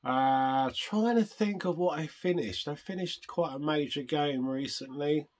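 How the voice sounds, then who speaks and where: An older man hosting a retro gaming vlog, chatting casually and rambling, hoarse from a chest infection. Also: a little high, a little slow. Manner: rambling, self-interrupting, chatty. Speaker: retro gaming channel host.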